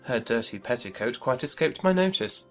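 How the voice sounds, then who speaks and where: Man playing a good-natured young gentleman, medium-pitched, earnest, polite, period audiobook drama, music underneath. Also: expressive, normal speed.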